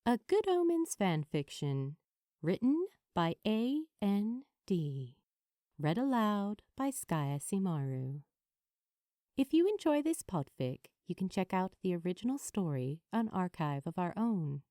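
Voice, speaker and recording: A woman narrating fiction from a script in a measured, expressive storytelling manner. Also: mid-range voice, dry recording, studio quality. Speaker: amateur audiobook narrator.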